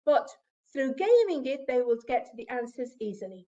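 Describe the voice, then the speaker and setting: A woman's voice: high voice, very animated, moderate pace, mellow, dull audio. A woman whose first language is Arabic, giving an academic conference presentation in English and explaining with asides to the audience.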